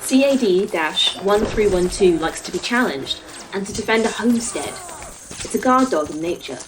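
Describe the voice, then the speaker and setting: A woman playing a brisk, knowledgeable guide to a virtual world of monsters in a sci-fi audio drama, explaining things in a technical, matter-of-fact way. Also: mid-range voice, lively intonation, noisy background, close to an everyday voice. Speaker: voice actor in a fiction podcast.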